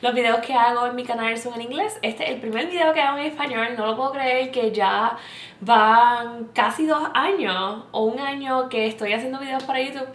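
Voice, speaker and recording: A woman's voice: fairly high pitch, normal speed, fairly bright tone, roomy sound. A young woman from Puerto Rico with a Puerto Rican accent, chatting through a makeup tutorial and slipping English words into her speech. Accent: Puerto Rican Spanish. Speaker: makeup vlogger. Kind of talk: makeup tutorial, talking while applying. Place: small indoor room with plain backdrop.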